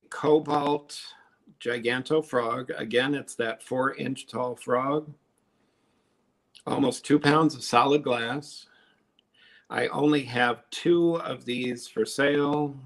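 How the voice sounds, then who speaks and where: A man's voice: medium pitch, vocal fry, fairly bright tone. A man on a live online sale, chatting casually as he shows and explains his glass pieces. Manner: chatty, explains techniques while showing pieces.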